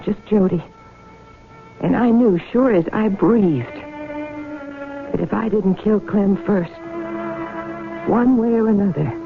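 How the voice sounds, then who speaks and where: An actress playing a devoted young farm wife with a guarded, fierce streak in a 1970s radio drama. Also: female voice, mid-range voice, natural voice. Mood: grimly determined. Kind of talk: 1970s radio drama acting and first-person narration. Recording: tinny band-limited 1970s radio broadcast, music.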